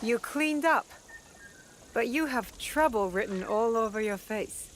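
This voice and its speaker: A woman playing a no-nonsense, sharp-tongued young fighter with firm principles in a video game cutscene, dry and curt. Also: fairly high pitch, animated, measured pace, a little hiss or hum, poor audio. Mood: dry, scornful. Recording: music behind the voice.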